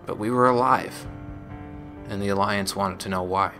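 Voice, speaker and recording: A low male voice acted by a man plays a young ex-gang operative recording a personal log. The delivery is measured and reflective, like a game audio diary. Music plays clearly underneath. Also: fairly even intonation, pushed and bright. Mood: regretful, reflective. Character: young former gang operative recounting painful memories. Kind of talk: scripted audio log narration.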